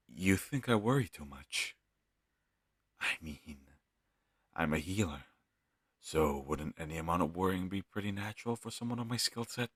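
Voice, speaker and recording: A man playing an earnest, kind fantasy healer in an audio roleplay, speaking in a gentle, hesitant, slightly husky voice. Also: very deep voice, no room sound, studio quality, exaggerated voice. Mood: worried, earnest. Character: earnest, kind young male fantasy healer, self-doubting.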